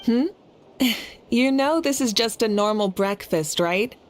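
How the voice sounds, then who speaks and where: An actress playing a self-assured goddess ruler who speaks with relaxed, teasing humor, in a video game. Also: female voice, a little high, slow, a little hiss or hum. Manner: relaxed, amused, gently teasing. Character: confident female fire goddess ruler, warm-humored.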